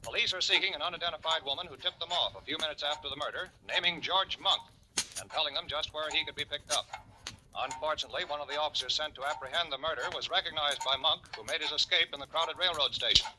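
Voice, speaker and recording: An actor playing an urgent radio newscaster, reading crime news in a 1940s style. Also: male voice, fairly high pitch, quick pace, lightly acted. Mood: urgent, matter-of-fact. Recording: noisy old radio recording.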